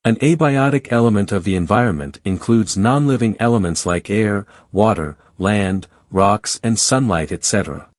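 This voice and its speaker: A man reads study material aloud in a fairly low voice. His delivery is scripted educational narration. The recording is very dry, with no room sound. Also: expressive, moderate pace, few pauses, no echo. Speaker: exam practice reader.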